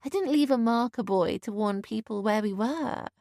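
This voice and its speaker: A subdued mother speaking softly and slowly, weighed down with guilt. A woman reads her in a close, dry audiobook voice. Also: fairly high pitch, no echo, lightly acted. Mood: guilty. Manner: quiet, subdued, apologetic. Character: soft-spoken guilt-ridden adult woman, mother.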